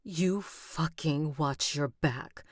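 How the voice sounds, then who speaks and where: A woman's voice: low voice, drawn-out words, no echo, full character voice. A woman voicing a furious young heroine held captive, who swears and is close to tears with anger. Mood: seething. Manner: seething, swearing, near tears. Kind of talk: rehearsing an audiobook reading on a livestream.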